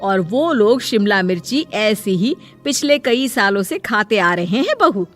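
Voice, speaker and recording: A woman's voice: medium pitch, sing-song, a bit bright, soft background music. A woman voicing a stern, sarcastic older mother-in-law in a cartoon story, scolding and bossy about her household. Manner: scolding, bossy, drawn-out address.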